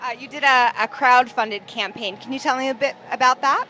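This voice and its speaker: Woman interviewer, bright voice, friendly chatty questions. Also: sits high, fairly quick, slight background noise. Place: outdoors at a music festival.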